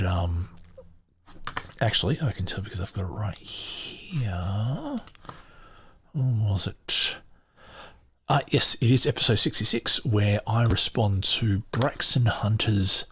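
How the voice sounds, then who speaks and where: A man with a deep voice hosting his podcast, talking in a relaxed, rambling way with hesitations and self-corrections. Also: slightly rough, no room sound. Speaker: podcast host. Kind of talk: casual podcast chat.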